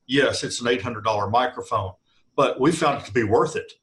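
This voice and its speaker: A man speaks plainly and practically, sharing what has worked for him. His voice sits at an average male pitch, with a steady, no-nonsense delivery. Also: fairly quick. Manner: matter-of-fact, practical. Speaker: panel guest.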